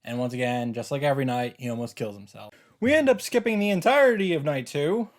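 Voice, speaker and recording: A man's voice: medium pitch, lively intonation, quick pace. A man giving casual commentary on a game playthrough, with wry, self-interrupting asides.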